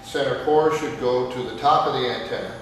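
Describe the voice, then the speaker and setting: A man with a mid-pitched voice walks through a hands-on technical demonstration. His instructions are plain-spoken and go step by step. The sound is echoey with long reverb. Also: a little fast, fairly bright tone. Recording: very echoey, reverberant room with background noise.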